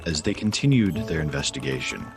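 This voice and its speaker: A man's voice: extremely low pitch, sing-song, a little fast, gravelly, over loud music, heavily acted. A man narrating an audio drama in the scripted manner of a storyteller. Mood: measured. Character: male storyteller narrating a Christmas crime tale.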